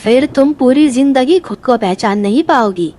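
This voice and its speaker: A woman's voice: high-pitched, animated, moderate pace, warm tone, a little hiss or hum. A woman voicing a lazy, scheming male crow in a cartoon fable, with a taunting, bossy manner. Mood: threatening, bossy. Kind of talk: animated fable dialogue.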